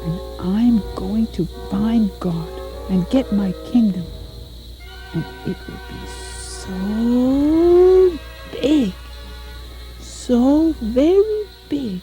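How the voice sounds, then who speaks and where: Woman acting an indignant, slow-spoken little boy prince. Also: on the high side, warm tone, soft background music, rough recording. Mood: boastful, brave. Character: five-year-old prince, indignant, brave and determined. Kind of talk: puppet show acting.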